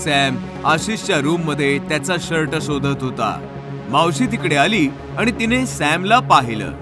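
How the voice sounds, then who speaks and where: A man's voice: on the high side, expressive, a little slow, music in the background. A man reading scripted narration as the storyteller of a horror tale.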